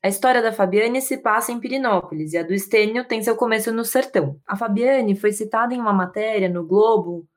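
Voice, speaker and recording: A woman moderating an interview, introducing guests and posing a question in a measured, prepared way. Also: medium pitch.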